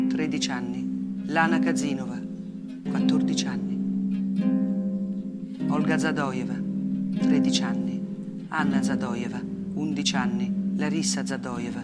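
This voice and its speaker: An older actress playing a haunted, bitterly ironic woman journalist in a theatrical radio monologue, speaking deliberately and emphatically. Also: low-pitched. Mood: somber, mournful. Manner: emphatic, deliberate delivery with rhetorical repetition. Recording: music bed behind the voice. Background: plucked guitar-like music.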